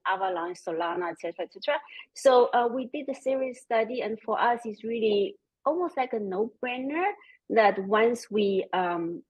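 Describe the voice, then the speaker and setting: Woman w/ german-chinese accent on a panel, kinda husky, dull lo-fi sound, lots of ums. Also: mid-range voice. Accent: German and Chinese mixed. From Austria. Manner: measured, with frequent "um" and "you know". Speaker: panelist, company CEO. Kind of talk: panel discussion.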